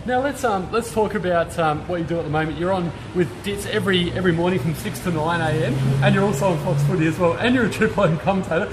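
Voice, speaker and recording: An Australian man hosting an interview, quick and chatty, calling the guest mate. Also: high voice. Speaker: podcast host. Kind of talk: casual interview questions. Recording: background noise.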